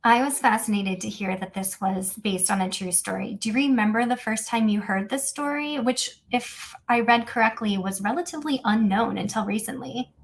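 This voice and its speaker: A woman hosting a podcast, asking a guest prepared questions in a measured way. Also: a little high, slight background noise. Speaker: podcast host. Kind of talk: scripted interview questions.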